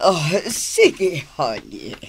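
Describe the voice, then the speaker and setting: An actress playing a greedy, coquettish wife with a giggly manner in a radio drama. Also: female voice, low voice, huge pitch swings, extremely slow, slightly rough, full character voice. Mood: gleeful, flirty.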